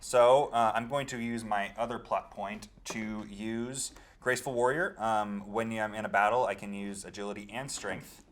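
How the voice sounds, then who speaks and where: A man playing a tabletop roleplaying game, casually describing his moves in a halting, thinking-aloud way. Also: on the low side, steady tone. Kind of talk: casual improvised description of play.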